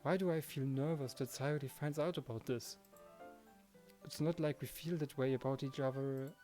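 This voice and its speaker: A man's voice: mid-range voice, fairly even intonation, moderate pace, dark tone. A man reading first-person visual novel narration and the protagonist's lines in a dramatized way, with music behind him.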